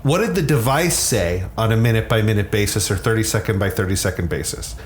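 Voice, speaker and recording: A man's voice: mid-range voice, slight background noise. A man explaining science conversationally as an expert guest, candid, often repeating words as he starts a thought.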